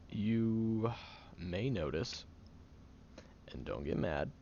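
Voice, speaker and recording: A man's voice: fairly low pitch, lo-fi sound, a little hiss or hum, heavily acted. A man playing a prickly, defensive young man who is sarcastic but secretly caring, in an audio roleplay spoken to the listener. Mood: teasing, awkward. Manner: gruff, sarcastic, flustered tsundere bickering.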